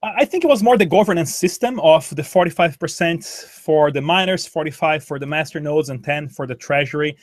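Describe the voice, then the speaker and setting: A man's voice: on the high side, a little fast, few pauses, no room sound. Man, from Brazil, native Portuguese speaker, interview guest, repeats words and restarts, dry close recording. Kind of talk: conversational interview.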